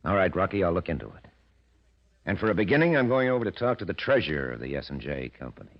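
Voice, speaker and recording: An actor playing a cagey, self-assured gang boss in the style of 1940s radio crime drama. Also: male voice, deep voice, very animated, quick pace, heavily acted. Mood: calculating. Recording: dull, lo-fi old radio recording.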